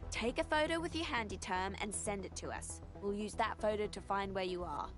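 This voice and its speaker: A woman's voice: medium pitch, lively intonation, a little fast, close to an everyday voice. An actress playing a resourceful, composed young woman agent with a wry, instructing manner in a video game. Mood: reassuring, firm. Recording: game music behind the voice.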